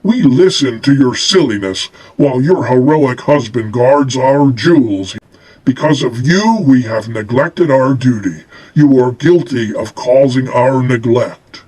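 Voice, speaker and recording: A man's voice: medium pitch, unhurried, darkish tone, pitched below the performer's own voice, close to an everyday voice, poor audio. A man voicing a wise, stern male ogre who judges others in a storybook reading.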